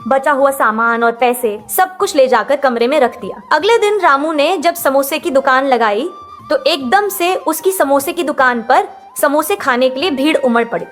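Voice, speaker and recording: A woman's voice: sits high, lively intonation, normal speed, faint music behind. A woman reading scripted narration for a children's moral story as its storyteller.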